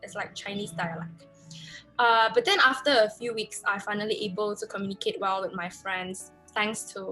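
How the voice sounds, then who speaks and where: A woman's voice: medium pitch, sharp tone, poor audio. Earnest young woman, mid voice. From Indonesia. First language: Hokkien. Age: young adult. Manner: earnest, hesitant with frequent uhs. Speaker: podcast guest. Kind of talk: casual chat.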